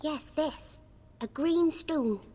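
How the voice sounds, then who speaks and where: A woman's voice: high voice, drawn-out words, warm tone, in a large hall. A woman voicing an earnest little village girl in a video game, chirpy and eager.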